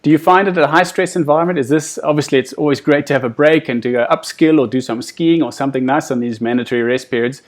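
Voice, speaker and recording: A man's voice: medium pitch, fast talker, few pauses. A man hosting an interview, asking long, encouraging questions in a conversational style. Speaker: interview host.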